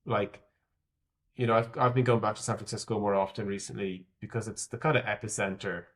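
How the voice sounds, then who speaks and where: A man's voice: fairly low pitch, fairly even intonation, brisk. A man with an Irish accent giving conversational interview answers as a tech executive, candid and thinking aloud as he hedges his predictions. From Ireland. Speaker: tech executive, podcast guest.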